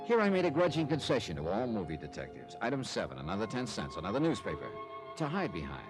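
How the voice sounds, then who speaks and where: A man's voice: on the low side, wildly swooping pitch, quick pace. An actor playing a wry, sardonic investigator who narrates his case in a clipped old-time radio detective style. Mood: wry narration. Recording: vintage radio recording with music.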